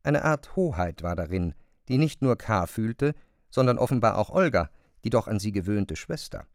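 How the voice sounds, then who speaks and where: A man narrating a literary radio play in measured, precisely phrased sentences. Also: fairly low pitch, lively intonation, no echo, studio quality.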